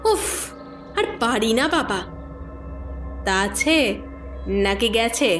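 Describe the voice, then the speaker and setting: A woman acting a weary, hard-hearted matron in an audio horror story, exasperated and sharp-tongued. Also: fairly high pitch, lively intonation, takes it slowly, soft background music.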